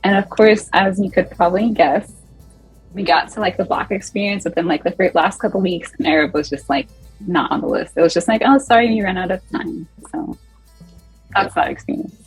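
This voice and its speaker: A woman's voice: fairly low pitch, a little fast, slightly rough, no echo, soft background music, poor audio. A young woman telling a personal story as a panelist, with heavy use of "like.". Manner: chatty, filler-heavy storytelling with "like". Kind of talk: online panel discussion.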